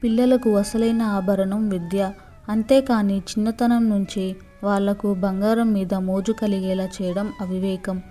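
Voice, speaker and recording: A woman's voice: mid-range voice, steady tone, unhurried, dark tone, lightly acted. A woman storyteller voicing a mild, wise man giving moral advice in a children's story. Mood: gently advising. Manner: mild, advising.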